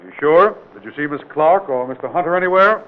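An actor playing a brisk, authoritative state investigator with a formal, commanding manner in an old radio drama. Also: male voice, fairly high pitch, expressive, natural voice. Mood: probing. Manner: formal, commanding interrogation with clipped questions. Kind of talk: 1940s-50s radio drama acting. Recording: tinny, noisy old radio recording.

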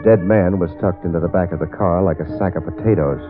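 Wry low-voiced American radio detective, played by a man. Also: a little fast, natural voice. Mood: dry narration. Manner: dry, sardonic, hesitant asides. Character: wisecracking male private detective, wry and streetwise. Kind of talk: 1940s radio detective drama acting, with first-person narration. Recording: tinny old radio recording, music.

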